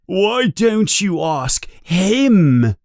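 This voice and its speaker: A man voicing a distraught, furious older male school caretaker who yells and screeches accusations in a storybook reading. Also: sits high, big pitch swings, extremely slow, no room sound, clean studio sound, pitched above the performer's own voice, exaggerated voice. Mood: angry accusing.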